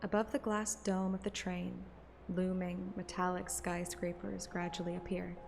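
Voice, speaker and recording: A woman narrating a scripted present-tense story in a measured, descriptive way. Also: lowish voice, little pitch movement, vocal fry, noisy background. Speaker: audiobook narrator and streamer. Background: music.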